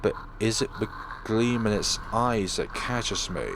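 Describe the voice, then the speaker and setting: Male let's player, lowish voice, dramatic first-person horror narration from a visual novel, noisy recording. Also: measured pace. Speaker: let's player reading a game aloud.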